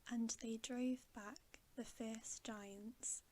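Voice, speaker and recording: Woman, ASMR story reader, soft breathy half-whisper, unhurried, gentle, level pitch, faint background noise. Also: fairly even intonation. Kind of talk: ASMR bedtime story reading.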